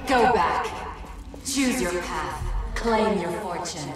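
An actress voicing a taunting, commanding spirit in a video game, ominous, with heavy echo. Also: female voice, fairly low pitch, expressive, slow pace. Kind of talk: video game dramatic acting. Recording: cavernous echo, distant, music behind.

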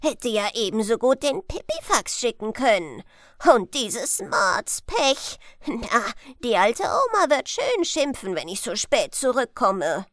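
Little red storybook devil, played by a woman: whiny, grumbling, high, very animated. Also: slow, no echo, clean studio sound. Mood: moaning, grumbling. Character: small red grumbling devil, cursing and moaning. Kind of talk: fairy-tale audiobook character.

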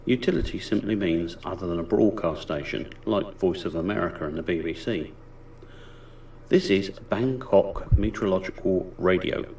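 A man from the UK narrates his radio hobby video in a calm, explanatory way. His voice sits a little low and sounds set back from the microphone. A faint hiss runs underneath. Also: recorded from a distance, poor audio. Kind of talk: scripted voice-over narration.

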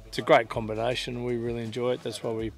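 A man, a yacht sailor recounting the race in a measured way. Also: mid-range voice, gravelly, faint music behind. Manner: flat, measured, lists with fillers. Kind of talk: post-race interview.

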